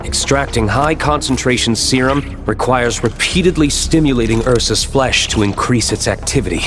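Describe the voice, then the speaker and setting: A man's voice: mid-range voice, normal speed, close to an everyday voice. A man playing a composed, serious investigator in a game, formal and matter-of-fact. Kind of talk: dramatic video game voice acting. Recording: prominent music under the voice.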